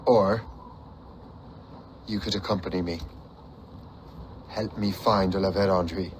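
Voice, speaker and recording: An actor playing a grim, determined seafaring hunter and captain in a dramatic video game cutscene, terse and intense. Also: male voice, low voice, fairly even intonation, slow pace, slight background noise. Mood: guarded, low.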